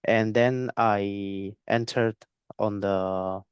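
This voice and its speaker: Young male guest, clear resonant tone, dry close sound, halting delivery with fillers. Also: fairly low pitch, steady tone, no room sound, highs cut off. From Spain. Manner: hesitant, repeats words, many fillers. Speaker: guest trader. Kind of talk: interview conversation.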